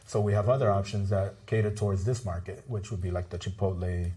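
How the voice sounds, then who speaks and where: A middle-aged man from the Bronx, telling stories as a guest in a TV studio interview, relaxed and laughing often. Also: deep voice, a little fast. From the Bronx, New York. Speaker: restaurant owner, guest. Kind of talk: casual interview chat.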